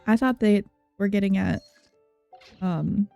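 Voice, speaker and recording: A woman's voice: medium pitch, slow, creaky, dark tone. A woman casually commenting on a video game playthrough with puzzled, murmured reactions over game music. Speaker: game streamer. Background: game music and game dialogue.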